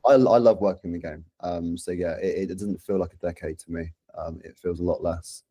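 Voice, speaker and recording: A man from London in his thirties speaks with a low, mellow voice and a London accent. The sound is close and dry. He chats casually as a guest on a podcast. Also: steady tone, a little fast, slightly rough, dry recording. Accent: London English. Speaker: podcast guest, social media and community manager. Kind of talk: casual chat.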